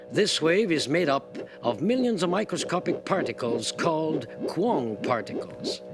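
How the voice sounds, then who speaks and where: An actor playing a scientist who explains a technical device in a lecturing, demonstrative manner. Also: male voice, on the high side. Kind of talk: scripted film voice-over.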